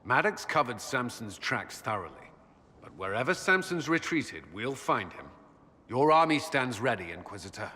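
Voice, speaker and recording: A man's voice: mid-range voice, very animated, normal speed, sharp tone, husky, full character voice. A man playing an earnest, dutiful army commander in a dramatic video game, speaking formally. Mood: resolute. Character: earnest, dutiful male military commander, awkward when flirted with. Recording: reverberant hall with music behind.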